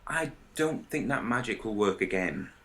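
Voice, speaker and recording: A man in a casual podcast discussion, explaining his ideas in a hesitant, halting way. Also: on the low side, big pitch swings, pushed and bright. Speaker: podcast panellist.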